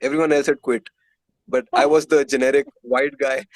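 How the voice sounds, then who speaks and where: Indian-accented man, lower voice, close dry sound. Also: fairly even intonation, moderate pace, no echo, rough recording. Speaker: musician being interviewed. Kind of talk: casual interview storytelling.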